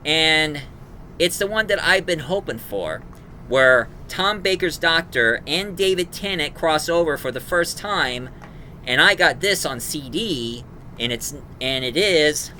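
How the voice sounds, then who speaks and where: A man giving an informal, emphatic review of an audio drama, recapping it in a halting way. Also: on the high side, bright, forceful tone.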